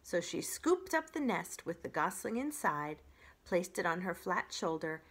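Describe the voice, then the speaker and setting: A middle-aged woman reading a children's story aloud in an expressive storytelling style. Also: lowish voice, a little slow, breathy edge. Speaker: children's library story reader.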